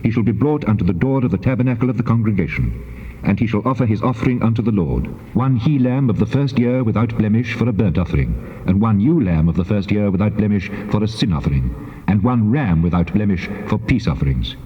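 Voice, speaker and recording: An actor playing a solemn, commanding God who pronounces sacred law in a measured, formal recitation. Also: male voice, on the low side, lively intonation, close to an everyday voice. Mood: solemn, instructive. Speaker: actor in dramatized Bible. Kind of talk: dramatized scripture recitation. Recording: dull tape transfer with long reverb.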